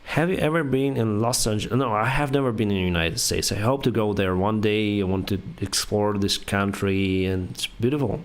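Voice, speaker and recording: Low-voiced man with a Russian accent. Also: clean tone. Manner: halting, many fillers and restarts, reads viewer questions then answers. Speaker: airline pilot streamer answering chat. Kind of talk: casual live stream Q&A, reading chat aloud.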